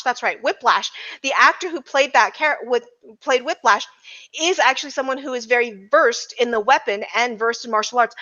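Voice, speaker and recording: Bright-voiced woman on a lo-fi podcast. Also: on the high side. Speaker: podcast panelist. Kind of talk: casual roundtable film discussion. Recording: slightly dull, lo-fi audio.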